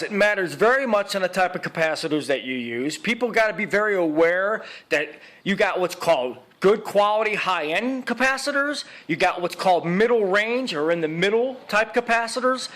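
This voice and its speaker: A man's voice: high voice, fairly bright tone. A man giving an informal how-to talk as a repairer, in an emphatic, opinionated and repetitive way, with blunt slang. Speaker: vintage video equipment repairer giving a how-to guide. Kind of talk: informal instructional talk to viewers.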